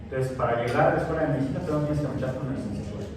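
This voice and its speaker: A man's voice: medium pitch, very fast. A man giving a digressive science lecture in a classroom, full of fillers and restarts. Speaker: science teacher. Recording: echoey room, distant mic, noisy.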